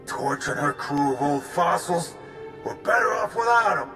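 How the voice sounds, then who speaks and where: A man's voice: fairly high pitch, lively intonation, normal speed, music in the background, close to an everyday voice. A man voicing a brash, defensive pirate gang boss in a video game, with an indignant, sneering manner.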